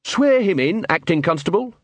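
Man as an earnest watch officer, raised lively voice. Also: sits high, normal speed, dry recording, voice pushed up. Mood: calm, assured. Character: calm, earnest, commanding male watch officer. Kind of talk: audiobook character voice.

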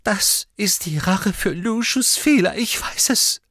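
A man voicing a desperate, pleading middle-aged witch, a frightened mother, in a breathy, tearful whisper for an audiobook story. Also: on the high side, huge pitch swings, unhurried, fairly bright tone, no echo, clean studio sound, voice pushed up, full character voice. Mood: weeping, anguished. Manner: imploring, broken phrasing.

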